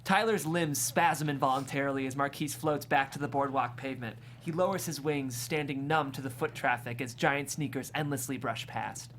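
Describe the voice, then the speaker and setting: Stage directions reader: mid-pitched, descriptive, amused, scripted comedy narration, slight room noise. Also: normal speed, hardly any pauses, a bit bright. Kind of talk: scripted screenplay narration at a table read.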